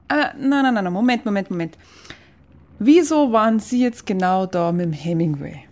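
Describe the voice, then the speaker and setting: Actress as a mellow, curious woman. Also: medium pitch, animated, moderate pace, natural voice. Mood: curious, wistful. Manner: casual, hesitant, curious. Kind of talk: natural radio play dialogue.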